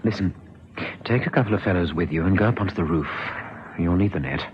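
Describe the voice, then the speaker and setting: An actor playing a kindly, persuasive police officer in an old radio drama, earnest and coaxing, sometimes stammering. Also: male voice, sits low, fast talker, close to an everyday voice. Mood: brisk, conspiratorial. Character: kindly, persuasive male police officer talking someone round. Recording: dull, noisy old radio recording.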